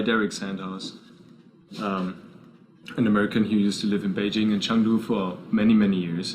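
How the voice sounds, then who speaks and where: A man's voice: lowish voice, slight background noise. A man from Germany with a German accent, talking casually in an interview as a bar co-founder. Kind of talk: casual storytelling interview talk.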